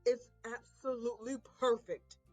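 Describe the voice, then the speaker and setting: A woman voicing an earnest, reassuring father in a children's storybook, with deliberate, emphatic phrasing. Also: on the high side, steady tone, warm tone, soft background music, close to an everyday voice, low-quality recording. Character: earnest, wordy, reassuring father of a young girl.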